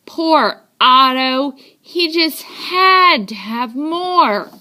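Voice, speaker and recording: Slow, high-voiced woman reading a storybook. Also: expressive, bright, forceful tone. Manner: dramatic, deliberate storytelling with drawn-out emphasis. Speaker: storybook reader. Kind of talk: children's read-aloud, scripted narration in a child's first person.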